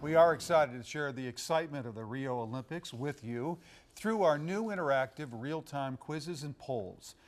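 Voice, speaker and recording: A man's voice: a little high, animated, normal speed. A middle-aged American man presenting the news as a TV anchor in a studio.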